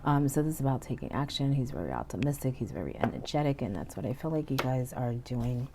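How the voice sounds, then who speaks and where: A woman giving a casual tarot reading, sounding stuffy and hoarse from illness, chatty with frequent "okay?" and "you know". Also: very deep voice, sing-song, fast talker. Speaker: tarot reader. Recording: faint background noise.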